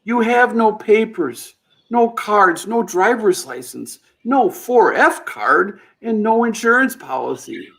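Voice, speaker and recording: A man playing a gentle, earnest guardian angel who patiently explains things, in old-time radio play style. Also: sits high, expressive, slow pace, creaky, exaggerated voice.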